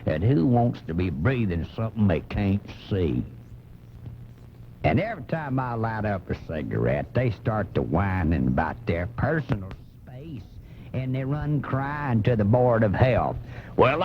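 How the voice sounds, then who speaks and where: A man's voice: on the low side, very animated, slight background noise. A man voicing an angry, blustering American redneck commentator in a folksy, indignant comic radio rant. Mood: belligerent. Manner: folksy, indignant, drawn-out insults. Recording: muffled off-air cassette, faint music.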